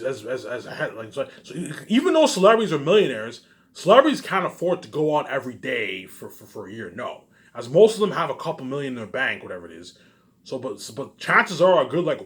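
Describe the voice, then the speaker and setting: A man speaks in a mid-range voice, rambling casually as if thinking aloud. He loops back over his own phrases, restating them with small changes. Loose swearing and little tag questions pepper his talk. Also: medium pitch. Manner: repetitive, circling back over the same phrases.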